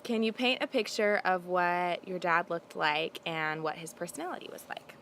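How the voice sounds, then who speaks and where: A young woman in her twenties interviews her father in a playful, upbeat way. Her voice sits a little low for a woman. She is recorded in a backyard, with faint outdoor noise behind her. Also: normal speed, fairly bright tone. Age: 20s. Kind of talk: casual family interview.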